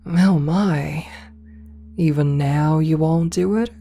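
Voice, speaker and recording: A woman playing a possessive, domineering rich CEO in an ASMR roleplay, speaking softly and coaxingly with condescending sweetness, slipping into whispers. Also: sits low, full character voice. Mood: menacing, seductive. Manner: slow, soft, breathy coaxing, slipping into whispers.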